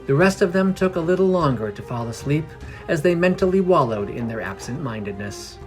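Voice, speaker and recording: A man's voice: a little high, lively intonation, brisk. Male fantasy story narrator over music. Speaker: narrator of a fantasy fiction podcast.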